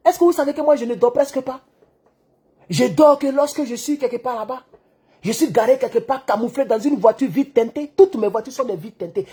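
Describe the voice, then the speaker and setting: A man giving an animated political monologue, acting out the dialogue in his stories. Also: very high voice, slightly rough. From Côte d'Ivoire. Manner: excitable storyteller who acts out dialogue. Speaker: online political commentator.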